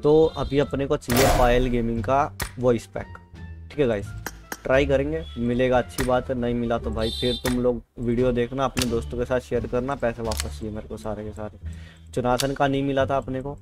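A man's voice: medium pitch, level tone, fairly quick. A man doing casual gameplay commentary, chatting to viewers and repeating his phrases. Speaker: gaming video creator. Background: game music.

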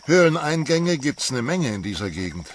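A man's voice: mid-range voice, sing-song, normal speed, a little hiss or hum, natural voice. An actor playing a blunt, skeptical male rancher in a radio play, speaking in a matter-of-fact way.

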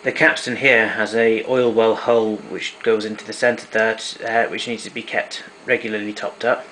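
Bright-voiced man demonstrating equipment. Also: fairly low pitch, level tone, normal speed, slight background noise, poor audio. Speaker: hobbyist demonstrator. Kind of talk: explanatory equipment walkthrough. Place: indoor room at home.

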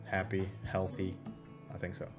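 A man's voice: low-pitched, completely flat delivery, normal speed. A young man chatting kindly with a stranger on video, reassuring her and repeating words. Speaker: video chat streamer. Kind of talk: friendly video chat with a stranger. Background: guitar music.

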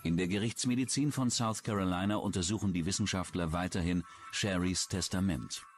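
A man's voice: sits low, fairly quick, faint music behind. A man reading scripted true-crime documentary narration.

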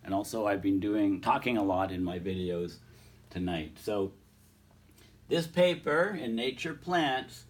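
A man's voice: fairly low pitch, expressive, a little slow, far from the mic. A man with a cold, his voice a bit off, explaining research papers in a rambling way, reading passages aloud with many ums and restarts. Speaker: climate science video host. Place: indoors in front of a large projected screen.

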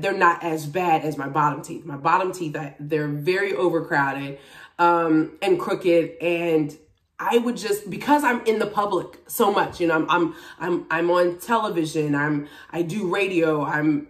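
Female vlogger, around forty, lowish voice, expressive and frank delivery, roomy home sound with a distant mic. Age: about 40. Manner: candid, expressive, emphatic. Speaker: vlogger, TV and radio personality. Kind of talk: casual chat to camera about a personal journey. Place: small indoor room at home. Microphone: camera a few feet away. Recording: roomy echo, distant microphone.

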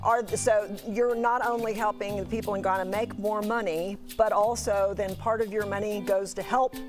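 A woman's voice: medium pitch, steady tone, normal speed, over music. A middle-aged woman interviewing conversationally as a morning television host. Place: bright glass-walled TV studio. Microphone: lapel mic.

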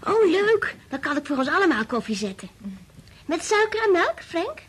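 A woman's voice: very high-pitched, very animated, normal speed. An actress playing a curious, eager young schoolgirl in a Dutch radio drama.

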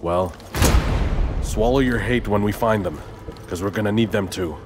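An actor playing a tough, pragmatic gang leader in a video game, terse and commanding. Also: male voice, lowish voice, normal speed, very noisy, natural voice. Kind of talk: video game cutscene acting. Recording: music behind the voice.

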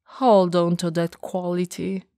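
A woman acting a friend's warm, teasing goth older sister in an intimate, soft-spoken ASMR roleplay, sometimes slipping toward a whisper. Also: fairly low pitch, flat delivery, unhurried, no echo, studio quality. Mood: fond, teasing. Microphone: close studio microphone.

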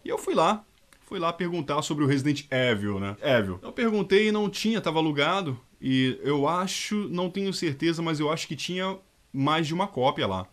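A man, a fan dub voice actor chatting in a lively, nostalgic way to camera. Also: fairly high pitch, moderate pace. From Rio de Janeiro state, Brazil. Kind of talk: casual nostalgic testimonial. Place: dark room indoors.

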